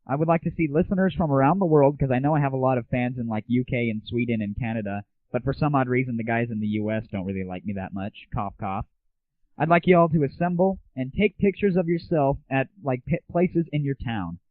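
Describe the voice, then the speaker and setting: A man's voice: brisk, no room sound. An American man hosting a casual, opinionated audio show in an irreverent, slangy way. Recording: muffled, tinny low-fidelity 1990s internet audio.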